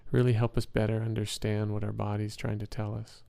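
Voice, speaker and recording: A man leading a guided meditation as an instructor, speaking in a soothing, measured and gentle way with pauses. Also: fairly low pitch, monotone, gravelly, no room sound.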